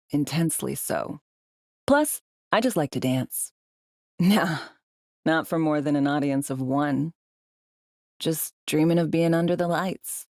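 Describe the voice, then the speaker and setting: A low female voice, recorded close and dry, plays a worldly spy for a video game. She talks in a clipped, slangy way, with a sardonic edge and the weariness of someone long in the field. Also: slow, pauses often, a little mellow, no room sound. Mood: wistful, candid. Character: world-weary, wry female undercover spy in a dystopian city. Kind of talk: video game voice acting, conversational dialogue.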